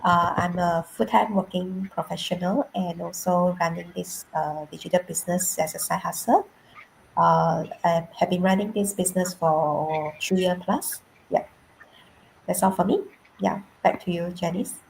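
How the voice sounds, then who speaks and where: Woman guest, casual, creaky voice, faint call noise. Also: fairly low pitch, steady tone, moderate pace, rough recording. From Malaysia. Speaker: guest speaker, side-hustle business owner. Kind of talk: casual self-introduction.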